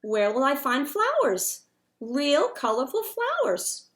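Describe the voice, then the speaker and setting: A storybook snowman acted out by a woman reader, naive and hopeful, with a high voice. He speaks slowly and wistfully, as if wondering at the world. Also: huge pitch swings, fairly bright tone, heavily acted. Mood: doubtful. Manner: doubtful muttering, calling out, weary sighing.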